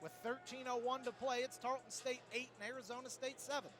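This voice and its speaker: A man's voice: extremely high pitch, fairly even intonation, quick pace, music in the background. A man calling a game live as a radio play-by-play announcer. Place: indoor sports arena. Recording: noisy live sports arena broadcast.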